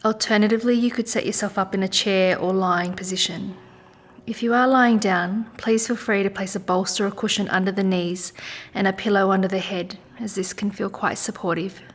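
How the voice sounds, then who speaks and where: A woman leading a guided meditation in a soft, gentle, soothing manner with unhurried pauses. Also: medium pitch, steady tone. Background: music.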